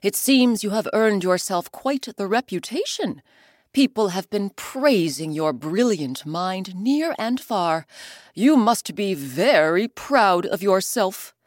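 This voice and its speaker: A woman doing a jealous storybook king who speaks in haughty, commanding bursts. He leans hard on his words, slow and loud, with a voice that rises and falls a lot. The sound is close and dry. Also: medium pitch, animated, no room sound. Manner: haughty, demanding, snappish. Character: proud jealous man, a king.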